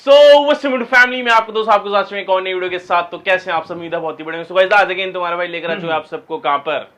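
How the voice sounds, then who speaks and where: Fast bright-voiced vlog host. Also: male voice, high voice, fairly even intonation. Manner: upbeat hype host who mixes English words into Hindi. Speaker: vlogger host. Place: small phone shop. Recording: dull lo-fi sound.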